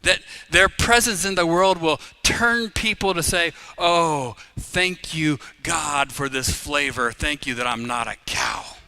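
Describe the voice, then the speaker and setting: Bright-voiced pastor preaching conversationally. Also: male voice, slow pace, hardly any pauses, clear, resonant tone. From Uganda. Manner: conversational, with personal stories and humor; swells louder and higher on key phrases. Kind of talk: live sermon.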